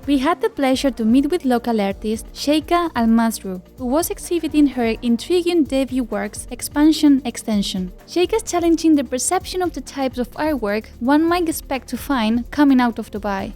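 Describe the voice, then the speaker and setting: A woman's voice: high-pitched, expressive, normal speed, hardly any pauses, warm tone, soft background music. A woman presenter narrating a scripted travel documentary. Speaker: presenter, architect and photographer.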